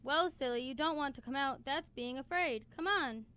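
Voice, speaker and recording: Plucky, kind toy girl, played by a woman, very high bright voice, coaxing and insistent, radio drama. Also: moderate pace. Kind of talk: student radio play acting. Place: college radio studio, live broadcast. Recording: clear background noise in radio studio.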